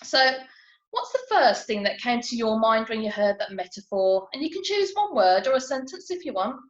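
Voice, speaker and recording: A woman's voice: on the high side, expressive, moderate pace. A woman tutor teaching an online lesson, explaining step by step with examples and prompting questions. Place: indoors, on a video call. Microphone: video call. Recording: dull, echoey video-call audio.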